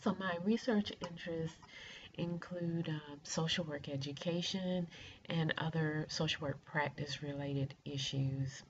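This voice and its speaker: A middle-aged woman with a raspy, congested voice from a cold, introducing herself informally and haltingly. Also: low-pitched. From rural Georgia, United States. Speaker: doctoral student introducing herself. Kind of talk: informal self-introduction to an online class. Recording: muffled lo-fi video, faint noise.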